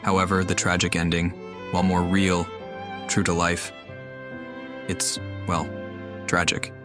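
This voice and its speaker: A man playing through a video game, musing aloud and commenting in a measured way. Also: deep voice, completely flat delivery. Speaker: game streamer. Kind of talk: reading along and commenting on a game. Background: game music.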